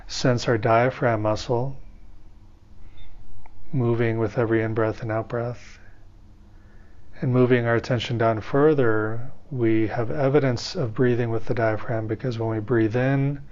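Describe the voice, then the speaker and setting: A man teaching a guided meditation, giving step-by-step instructions in an unhurried way with pauses. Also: medium pitch, steady tone, a little mellow. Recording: slightly dull, band-limited audio.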